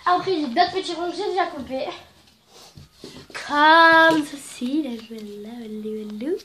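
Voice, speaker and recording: High-voiced young woman with a cold. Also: unhurried, sharp tone, a little hiss or hum. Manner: chatty, rambling, playful. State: stuffy nose from a cold. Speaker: craft vlogger. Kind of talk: casual craft tutorial. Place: at home indoors. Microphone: phone camera.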